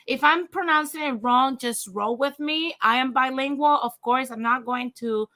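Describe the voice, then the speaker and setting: A woman's voice: high voice, moderate pace, bright, forceful tone. A young woman whose first language is Spanish, with a light Spanish accent, hosting a livestream and giving blunt, profane opinion commentary straight to her viewers, then reading a news article aloud. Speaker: livestream host.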